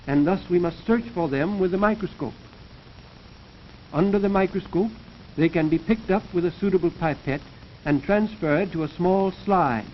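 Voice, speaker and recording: Male narrator, high-pitched, formal and measured, 1930s instructional film style, muffled vintage soundtrack. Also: slight background noise. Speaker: narrator of an educational science film. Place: recorded voice-over for a film.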